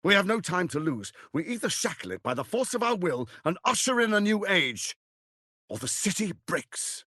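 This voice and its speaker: An actor playing a scheming, menacing fantasy tyrant in a video game, silky, grandiose and condescending, speaking with theatrical deliberation. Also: male voice, sits high, sing-song, moderate pace, bright, forceful tone, no room sound, clean studio sound, exaggerated voice. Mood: grand, urgent. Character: scheming, smooth, menacing male tyrant lord and political mastermind.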